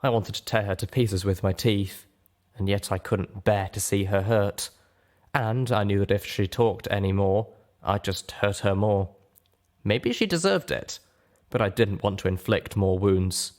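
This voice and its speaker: A man's voice: fairly low pitch, a little slow. A man reading scripted first-person fiction as an audiobook narrator.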